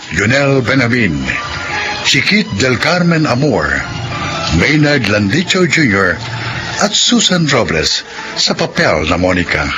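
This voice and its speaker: Male radio-drama announcer, formal and measured, lively intonation, music bed behind. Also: medium pitch, poor audio. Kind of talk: formal announcing of credits and moral.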